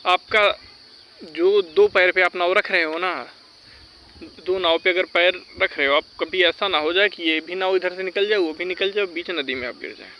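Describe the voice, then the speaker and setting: Male advice vlogger, high bright voice, insistent and cautionary. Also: moderate pace, a little hiss or hum. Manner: insistent, cautionary, repeats points. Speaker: exam-advice video creator. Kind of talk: informal advisory talk to students.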